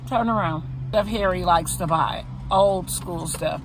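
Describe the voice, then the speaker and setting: A woman's voice: on the low side, measured pace, background noise. A woman in her forties with a Southern US accent, chatting casually to viewers from her car and calling them besties. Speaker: vlogger. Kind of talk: casual car vlog chat. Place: inside a parked car, outdoors around. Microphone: phone camera on dashboard holder.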